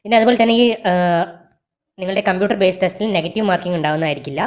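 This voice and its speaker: A woman's voice: lowish voice, very fast, darkish tone. A woman with a Kerala Malayali accent presents an informational explainer, reading out details in a matter-of-fact way. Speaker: presenter of job vacancy videos.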